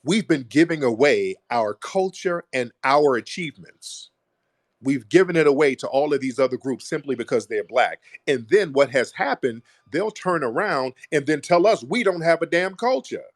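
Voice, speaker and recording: A man's voice: a little high, animated, moderate pace, no echo. Guy hosting a call-in, lecturing hard, hammering his point over and over, dry close sound. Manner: forceful, lecturing, repeats points emphatically. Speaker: host. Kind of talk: heated call-in debate.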